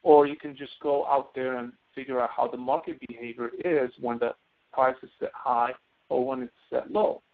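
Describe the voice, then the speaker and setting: Gravelly male instructor on a phone-like line. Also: mid-range voice, steady tone, moderate pace. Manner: instructional, explaining step by step. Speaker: course instructor. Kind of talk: live spoken software tutorial. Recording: narrow phone-like audio.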